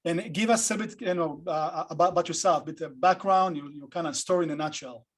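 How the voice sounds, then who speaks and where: A man casually hosting an online panel on a video call, talking off the cuff in an informal, halting way. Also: on the high side, breathy edge. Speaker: host.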